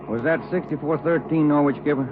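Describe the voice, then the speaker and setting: A man's voice: on the high side, steady tone, fairly quick, noisy background, close to an everyday voice. Plain-spoken, polite male detective, played by an actor, vintage radio crime drama, narrow-band sound. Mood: matter-of-fact. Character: polite, steady male police detective partner. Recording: thin old radio recording.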